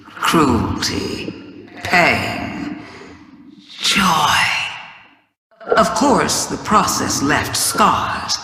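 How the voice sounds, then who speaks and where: A woman playing an ancient, cunning alien witch queen in a theatrical video game monologue, sly, deliberate, taunting and grandiose. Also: very deep voice, bright, forceful tone, heavily acted. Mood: savoring, ominous. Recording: echoey voice with long cavernous reverb.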